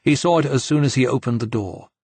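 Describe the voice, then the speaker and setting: A man's voice: medium pitch, fast, a little mellow, husky, dry recording. A man narrating fiction from a script as an audiobook reader.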